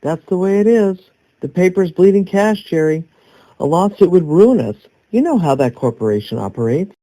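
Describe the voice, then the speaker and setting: A man playing a composed, pragmatic newspaper boss with a measured delivery in an audio drama. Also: high voice, expressive, dark tone, low-quality recording. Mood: composed, dry.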